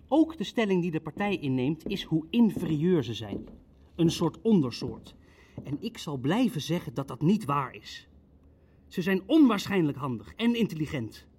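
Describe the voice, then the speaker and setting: Arrogant legalistic nazi official, slightly high husky voice, cold formal arguing, radio play. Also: male voice, a little mellow. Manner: argumentative, formal, insistent.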